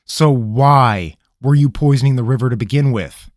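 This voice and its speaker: A man's voice: mid-range voice, moderate pace, no echo, studio quality, voice pushed up, close to an everyday voice. A man voicing a stern, impatient male interrogator who questions bluntly and growls in exasperation.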